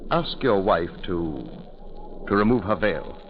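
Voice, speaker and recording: An actor playing an anxious gentleman passenger, easily shaken, in an urgent, melodramatic 1940s radio manner. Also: sits low, animated, slow pace, heavily acted. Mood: stunned. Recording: muffled, hissy 1940s radio recording.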